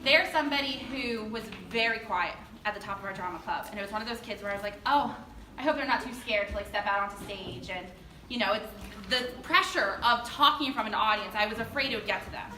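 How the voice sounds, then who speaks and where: A woman's voice: mid-range voice, fast, bright, forceful tone. Female drama club teacher presenting awards: gushing, chatty, informal, picked up from far off in a reverberant room. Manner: enthusiastic, gushing, colloquial. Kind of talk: informal award speech to parents. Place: indoor performance space before an audience. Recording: echoey room, distant noisy mic.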